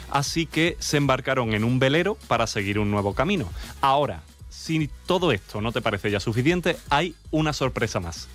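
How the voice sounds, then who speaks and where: Relaxed man radio co-host. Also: mid-range voice. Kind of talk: live radio chat.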